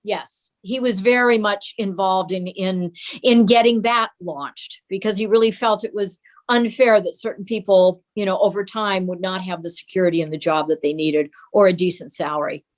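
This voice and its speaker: Casting: older American woman, interview guest, mid-range voice, reflective storyteller who pauses and repeats for emphasis. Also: medium pitch, fairly even intonation. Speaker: interview guest, daughter of a radio and TV performer. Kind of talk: conversational reminiscence in an interview.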